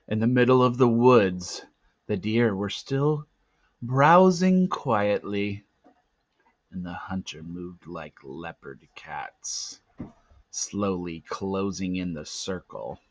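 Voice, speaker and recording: A man reading a storybook aloud as narrator, with dramatic, suspenseful swells and hushed drops. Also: deep voice, lively intonation, slow. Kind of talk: expressive storybook read-aloud. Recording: dull lo-fi, roomy echo.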